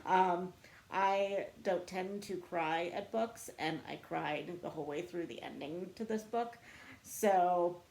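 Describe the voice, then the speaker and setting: A woman's voice: on the low side, slow pace, gravelly, a little mellow. A woman book vlogger chatting casually to camera in a chatty, self-deprecating way. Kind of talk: casual chat to camera answering book tag questions.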